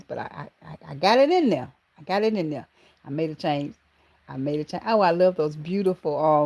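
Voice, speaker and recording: A woman from Georgia with a Southern accent, hosting a casual livestream and greeting viewers by name, calling them baby and honey. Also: deep voice. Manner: affectionate, calls viewers baby and honey, animated exclamations. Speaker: livestream host.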